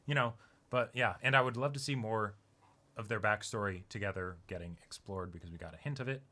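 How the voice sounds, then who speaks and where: A man's voice: on the low side, brisk, sharp tone. A man co-hosting a casual discussion, thinking aloud in loose, conversational phrasing. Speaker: co-host of an anime reaction discussion.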